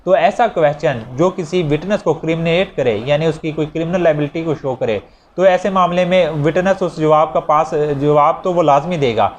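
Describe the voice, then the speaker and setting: A male lecturer with a Pakistani accent speaks in a slightly high-pitched voice. He explains law conversationally, repeating points and mixing English legal terms into his speech. The recording has faint noise behind him. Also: a little mellow. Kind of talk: explanatory lecture with examples, alternating with reading statute text aloud. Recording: noisy recording with background ambience.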